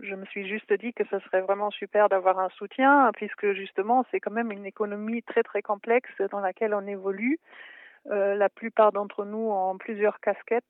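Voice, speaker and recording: A woman from Germany, native German speaker, answering interview questions in French with a German accent, hesitant and full of filler pauses. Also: mid-range voice, steady tone, no room sound. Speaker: photography historian and translator. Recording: tinny dry phone line.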